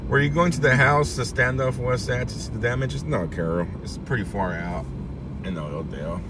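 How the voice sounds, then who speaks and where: A man chatting casually with livestream viewers as host, informal and thinking aloud in an unhurried way. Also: medium pitch. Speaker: livestream host, citizen reporter. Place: in a vehicle out on the road. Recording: clear steady car and outdoor noise.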